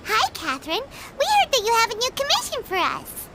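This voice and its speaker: An actress voicing a tiny, excitable, childlike companion creature with a squeaky voice in a video game. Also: female voice, fairly quick, heavy background noise, exaggerated voice. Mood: cheerful. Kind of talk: video game character dialogue. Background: loud background music.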